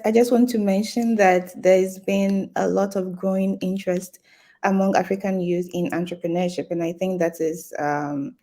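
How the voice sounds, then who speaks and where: A woman from Ghana speaking on a business panel, explaining her points in a measured way with frequent fillers. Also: mid-range voice, mellow. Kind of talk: panel discussion.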